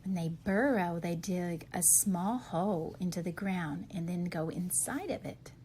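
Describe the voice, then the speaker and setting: A slightly husky-voiced woman reading a children's picture book aloud, posing questions and answering them expressively for young listeners. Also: on the low side, measured pace, mellow, slight background noise.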